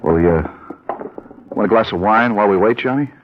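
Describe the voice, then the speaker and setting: An actor playing a troubled working-class man ashamed of his brother, speaking plainly and haltingly in 1950s radio drama style. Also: fairly low pitch, slight background noise, natural voice. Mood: weary, aggrieved. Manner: plain-spoken, halting, heavy-hearted. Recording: dull vintage radio recording.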